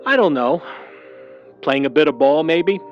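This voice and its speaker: An actor playing a wary, slangy Hollywood agent in a hard-boiled 1940s-style noir audio drama. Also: male voice, fairly high pitch, expressive, unhurried, muffled recording, faint music behind. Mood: cagey. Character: wary fast-talking old Hollywood talent agent, man.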